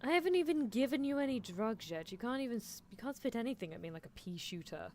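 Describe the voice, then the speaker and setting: A woman's voice: fairly high pitch, expressive, fast, a little mellow, close to an everyday voice. Woman playing a teasing mad-scientist girl, noisy background. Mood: mocking, exasperated. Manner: theatrical, teasing, musing. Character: teasing female mad-scientist horse-girl, playfully menacing. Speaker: livestreamer. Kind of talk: roleplay ASMR livestream, answering chat in character.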